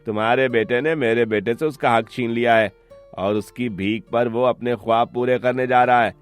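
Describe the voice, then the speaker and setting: A scheming, hot-tempered village labourer from a cartoon tale, acted by a man. He is greedy and sly, with menace in his manner. The pitch is average for a man, with faint music underneath. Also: steady tone, moderate pace, lightly acted. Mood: angry, threatening. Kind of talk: cartoon story voice acting.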